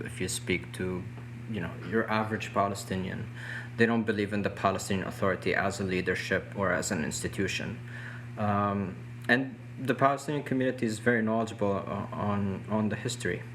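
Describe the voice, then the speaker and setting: Low-voiced man, English not his first language. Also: fairly bright tone, a little hiss or hum. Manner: measured, explanatory, restarts phrases while searching for words. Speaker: guest, youth movement organizer. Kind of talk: casual interview answers.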